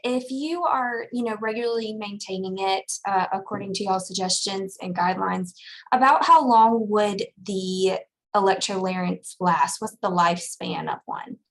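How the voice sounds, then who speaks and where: A woman's voice: medium pitch, moderate pace, poor audio. A woman working for an equipment program, speaking in conversational question and answer. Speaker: state equipment program staffer.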